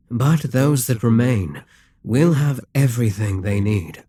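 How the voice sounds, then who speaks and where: A man playing an immortal Demon King in an ASMR roleplay, cold, calculating and condescending, with a husky, commanding delivery. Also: medium pitch, warm tone, dry recording, clean studio sound. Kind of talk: ASMR audio roleplay, dramatic monologue.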